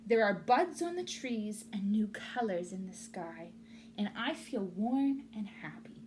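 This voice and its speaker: A woman's voice: mid-range voice, animated, measured pace, a little mellow, slight background noise, natural voice. A woman voicing a cheerful, encouraging little bird in a children's storybook, in a chirpy manner. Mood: happy. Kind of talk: children's storybook read-aloud.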